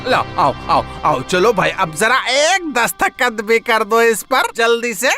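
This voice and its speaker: Woman acting a slow, scheming greedy shopkeeper man. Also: a little high, lively intonation, over music. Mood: wheedling. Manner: drawn-out, repetitive muttering with sly chuckles. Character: greedy, scheming male shopkeeper, sly and wheedling. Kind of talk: cartoon moral-story acting.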